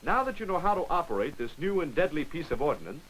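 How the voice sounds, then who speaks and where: A man giving brisk, wry scripted narration for a 1940s military training film, on an old film soundtrack. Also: on the high side, sing-song, fairly bright tone, rough recording.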